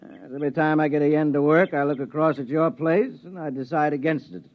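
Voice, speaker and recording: An actor playing an idle, bookish, sardonic neighbour in a 1950s radio drama, musing at leisure. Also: male voice, on the high side, fairly even intonation, quick pace, natural voice. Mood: wry. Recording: dull vintage radio recording.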